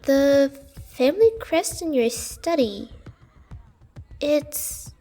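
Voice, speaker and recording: A woman's voice: high voice, expressive, very slow, mellow, faint music behind, full character voice. A woman playing a young, loyal, cautious girl serving a queen, earnest and deferential, in a fantasy audio roleplay. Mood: puzzled, alert.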